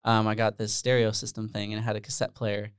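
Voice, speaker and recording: A man's voice: fairly low pitch, level tone, fast, clear, resonant tone, no room sound, studio quality. A man in his twenties, born in the Netherlands, chatting casually as a guest musician, rambling with plenty of fillers. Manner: rambling, self-deprecating, many fillers. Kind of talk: casual interview chat. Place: small room with bare walls. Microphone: handheld dynamic mic, headphones on.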